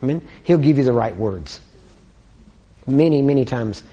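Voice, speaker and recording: A man with a Texan accent preaching an informal sermon, keeping it conversational with frequent checks like "amen?" and clearing his throat often. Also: medium pitch, animated, normal speed, dark tone, muffled recording.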